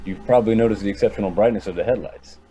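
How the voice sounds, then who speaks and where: A man's voice: lowish voice, racing, mellow, slight background noise, close to an everyday voice, low-quality recording. An actor playing a nonchalant young rich heir in an old-time radio drama, casually boastful about his family's wealth. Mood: casual boasting. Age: young adult.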